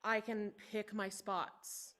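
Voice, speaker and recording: A young woman, a singing student on a video call, speaking softly and hesitantly with many fillers. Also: medium pitch, level tone, slow pace. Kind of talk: masterclass conversation.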